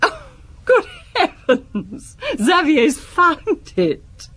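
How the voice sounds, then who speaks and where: A woman's voice: high-pitched, wildly swooping pitch, drawn-out words, fairly bright tone, exaggerated voice. An actress playing a proud, self-possessed Parisian businesswoman in a radio drama, formal, guarded and defensive. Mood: startled, insistent.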